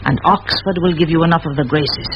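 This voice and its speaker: An actress playing a determined middle-aged schoolmistress in a vintage radio play, speaking in firm, measured, eloquent phrases. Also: female voice, low-pitched. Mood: earnest, impassioned. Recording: muffled old radio recording, faint hiss.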